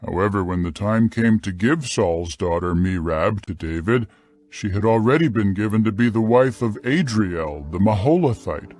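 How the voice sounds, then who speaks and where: A man narrating a dramatized scripture account in a measured, deliberate storytelling style. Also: fairly low pitch, expressive, a little mellow.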